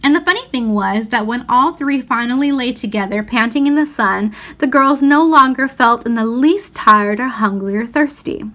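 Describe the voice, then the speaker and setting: A woman reading a storybook aloud as narrator, with expressive, deliberate phrasing. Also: on the high side.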